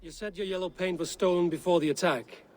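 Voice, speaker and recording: An actor playing a calm Viking raider questioning suspects in a video game, measured, serious and direct. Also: male voice, high voice, a little hiss or hum, natural voice. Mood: pressing. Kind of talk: dramatic game dialogue acting.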